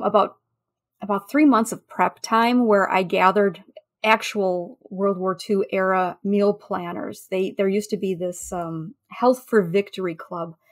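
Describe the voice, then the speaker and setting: Woman, mid-range voice, podcast guest, conversational, halting anecdotal delivery. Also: mid-range voice. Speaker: interview guest, food history researcher.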